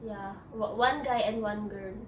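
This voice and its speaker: A Filipino woman with a Tagalog-native accent, speaking English in a halting, hesitant way with many restarts in a personal interview. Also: medium pitch, a little hiss or hum. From Philippines. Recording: echoey room, distant mic.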